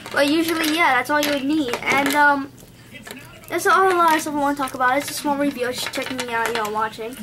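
Young indian woman rambling thru an unboxing, high voice, noisy room behind her. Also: fast. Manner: rambling, thinks aloud, corrects herself. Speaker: gadget reviewer. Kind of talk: casual unboxing vlog. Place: home desk, indoors. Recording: noisy home camera recording.

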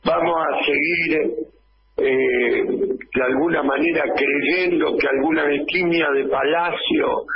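Slow, gravelly Argentine radio commentator. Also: male voice, a little high, steady tone, muffled recording, background noise. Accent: Argentine Spanish. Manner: slow, impassioned, hammering rhetorical questions. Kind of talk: political radio editorial. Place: small radio studio. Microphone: studio microphone close up, wearing headphones.

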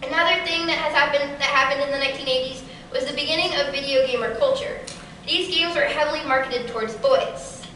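Teen girl speaker, high bright voice, booming hall, mic heard from far back. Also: a little fast, slight background noise. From Kansas City area. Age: teens. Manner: steady, rehearsed delivery. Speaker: keynote speaker. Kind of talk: prepared conference talk. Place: large auditorium.

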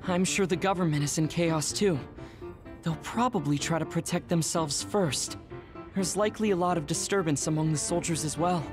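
An actor playing a thoughtful, analytical young male comrade in an anime game, speaking in a measured way. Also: fairly high pitch, close to an everyday voice. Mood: measured, serious. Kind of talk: English-dubbed anime game acting. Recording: voice over loud music score.

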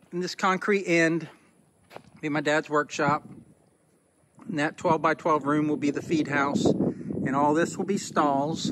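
A man's voice: on the high side, very noisy. A middle-aged man on a rural homestead, talking plainly and thinking aloud as he films a walk-around on his phone. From Northwest Florida. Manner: folksy, plainspoken, thinking aloud with small restarts. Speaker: homestead vlogger. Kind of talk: casual walk-around narration. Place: outdoors on a rural homestead by a pole barn.

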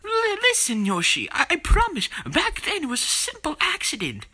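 Someone voicing an excitable, high-pitched video game hero. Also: moderate pace, full character voice. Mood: flustered, apologetic. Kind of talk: amateur comedic game parody voice acting.

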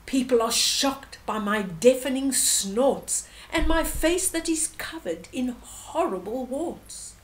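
A woman's voice: high-pitched, lively intonation, slow pace, hardly any pauses. A woman voicing a dumpy, warty warthog in a storybook, emphatically boasting of its ugliness. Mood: boastful. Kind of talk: storybook character reading.

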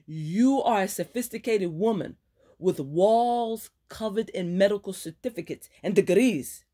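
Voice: mid-range voice, measured pace, pitched above the performer's own voice, lightly acted. A reader voicing a fiery woman doctor, scolding her friend in a raised, sarcastic voice. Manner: exasperated, sarcastic, lively scolding.

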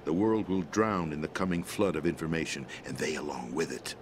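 An actor playing a middle-aged president in a video game, explaining a conspiracy in a measured, grave manner. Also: male voice, very deep voice, noisy background. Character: calm, grave middle-aged man, president briefing via codec. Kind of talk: video game cutscene voice acting.

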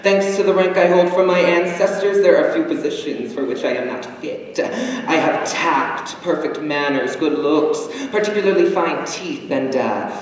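A man's voice: a little high, lively intonation, normal speed, lightly acted. A young man playing a vain, self-satisfied young aristocrat in a classical stage comedy, pompous and mannered with swooping emphasis. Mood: smug, preening. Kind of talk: theatrical classical comedy monologue. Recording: distant, noisy, very echoey auditorium recording.

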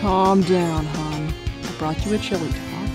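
A woman's voice: lowish voice, steady tone, measured pace, over loud music, lightly acted. A woman voicing a flirty, teasing bat thief in a cartoon comic dub, coy and playful. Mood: coaxing. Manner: coy, playful, drawling endearments.

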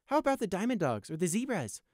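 A small, mouthy young pony prisoner acted out by a man in an audiobook tale. The voice leaps high and swoops about, flippant and needling, with a theatrical, mocking edge. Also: very animated, fast, darkish tone, no echo, clean studio sound. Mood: sarcastic. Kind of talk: audiobook character acting.

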